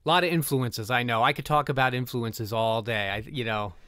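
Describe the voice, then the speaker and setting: A man's voice: mid-range voice, fairly quick, bright, forceful tone, clear, resonant tone, no room sound. A middle-aged man answering interview questions in a conversational, talkative, enthusiastic way. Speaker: interview guest, music composer.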